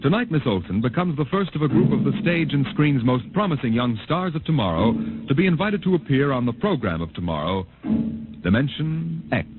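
A man's voice: mid-range voice, sing-song, a little fast. Dramatic vintage radio announcer man, music behind. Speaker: announcer and narrator. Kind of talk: dramatic 1950s radio scripted narration. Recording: muffled old-time radio recording, music behind.

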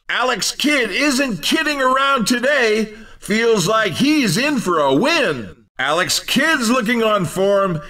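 Cheesy video game race announcer, male, excitable, emphatic, very animated pitch, bright forceful tone. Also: distant mic, exaggerated voice. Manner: excitable, emphatic, punchy delivery. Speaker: race commentator. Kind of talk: video game sports commentary.

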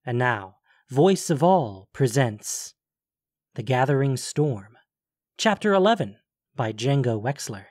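A man's voice: medium pitch, very animated, slow, darkish tone, dry recording, clean studio sound. A man reading scripted fantasy fiction as the narrator of an audio story.

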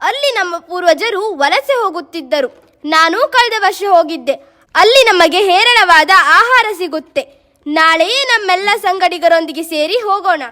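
A woman voicing a caring mother bird who leads her young and speaks gently. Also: very high-pitched, animated, moderate pace, big-room sound, full character voice. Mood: calm. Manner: gentle, storytelling. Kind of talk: amateur radio play.

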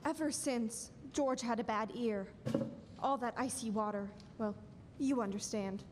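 Young woman as high-voiced heavenly superintendent, echoing hall. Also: a little slow, close to an everyday voice. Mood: calm, instructive. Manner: instructive storytelling. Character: calm, authoritative superintendent of angels. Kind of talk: stage radio-play acting. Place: school auditorium stage, standing at mic. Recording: reverberant noisy hall.

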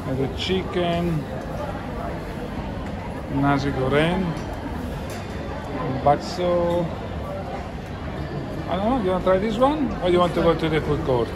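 A man's voice: sits high, expressive, slow, long pauses. A man in his forties talks casually to a phone camera held at arm's length as he tours an indoor mall and restaurant, thinking aloud in non-native English phrasing. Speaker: travel vlogger. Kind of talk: casual vlog narration. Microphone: handheld phone camera at arm's length. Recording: loud crowd and mall noise. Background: crowd chatter and mall noise.

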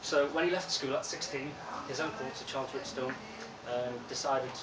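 Young guy giving a chatty talk, soft voice, heard from far back in a roomy hall. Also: medium pitch, normal speed. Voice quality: soft-spoken. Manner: relaxed, chatty, frequent ums. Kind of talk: informal lecture. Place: lecture room with projector, indoors. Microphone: far room camera. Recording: echoey room from far off with loud hiss.

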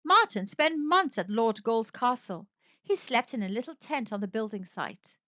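A woman narrating a children's storybook aloud with expressive, animated storytelling. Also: fairly high pitch, normal speed, no room sound. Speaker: storybook reader. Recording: dry, dull lo-fi sound.

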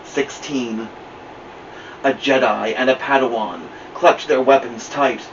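A middle-aged man reading a children's picture book aloud as a storytime host, with dramatic, deliberate delivery. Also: mid-range voice, steady tone. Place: indoor room at home, seated by a plant. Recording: muffled, noisy, distant camera mic.

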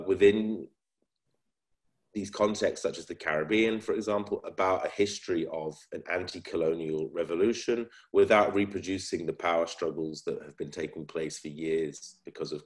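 Deep low guy on a video call panel, thoughtful filmmaker type, kinda feeling out his words. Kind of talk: reflective panel discussion. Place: indoor room on a video call.

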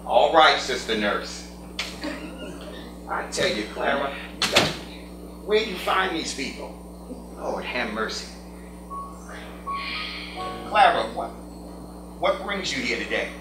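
A man's voice: medium pitch, big pitch swings, takes it slowly, long pauses. An American man playing a pompous, fervent church reverend in a gospel stage play, with preacherly delivery that slides into singsong. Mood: weary, reassuring. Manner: preacherly, breaks into singsong cries. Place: indoor stage before an audience. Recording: echoey theater stage with music.

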